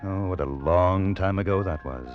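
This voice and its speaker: An actor as a deep-voiced wistful man remembering boyhood. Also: natural voice. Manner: reflective, measured, wistful. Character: grown man nostalgically recalling his boyhood adventure. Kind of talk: 1940s radio drama narration. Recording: muffled old-time radio recording. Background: music.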